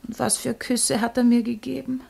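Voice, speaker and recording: A woman's voice: fairly high pitch, little pitch movement, moderate pace, vocal fry, a little mellow, breathy edge, exaggerated voice. An actress playing a vain, lovesick old woman in a theatrical, lamenting manner in a classical comedy radio play. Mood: aggrieved. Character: vain, lovesick old woman, jilted by her young lover.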